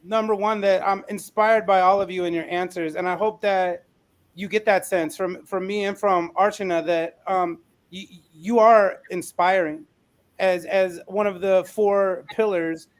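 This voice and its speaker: A man with a high-pitched voice speaks as a podcast co-host. His remarks are informal, earnest and appreciative. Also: fairly even intonation, a little slow.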